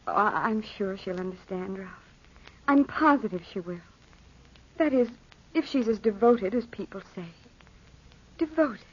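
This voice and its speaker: A woman's voice: mid-range voice, animated, a little slow, long pauses, slight background noise, lightly acted. An actress playing an outspoken, impatient young woman in a 1940s radio drama, with an emphatic, reproachful delivery. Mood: challenging, pleading. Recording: dull vintage radio drama recording.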